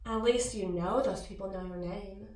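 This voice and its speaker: A woman's voice: mid-range voice, normal speed, darkish tone, natural voice. A woman playing a sly, seductive, mocking mirror alter ego in an ASMR roleplay, cooing and teasing. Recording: echoey, distant-sounding voice.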